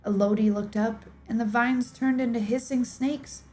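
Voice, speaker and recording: A woman streamer giving a theatrical, measured dramatic reading of game lore. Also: a little high, steady tone, warm tone. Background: music.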